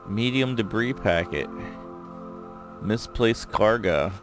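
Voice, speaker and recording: A man giving casual let's-play commentary, thinking aloud and rambling with fillers as he goes, his voice going a bit hoarse. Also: lowish voice, expressive, takes it slowly, over music. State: losing his voice. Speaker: gameplay commentator.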